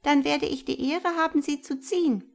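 A woman voicing a small, polite female mouse in a storybook reading, in a high squeaky voice. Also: fairly even intonation, normal speed, creaky. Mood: eager.

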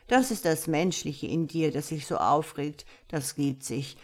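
A woman voicing a wise, patient tomcat in a storybook, speaking in a measured, gently instructive and slightly pompous way. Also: sits low, pitched below the performer's own voice. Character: wise, patient male tomcat, calm mysterious mentor. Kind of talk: storybook character voice.

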